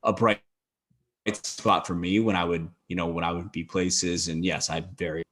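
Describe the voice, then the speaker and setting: A man's voice: low voice, fairly even intonation, moderate pace, a bit bright. A man talking as a podcast guest in a thoughtful way, with lots of "you know" fillers. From United States. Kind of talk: casual conversation.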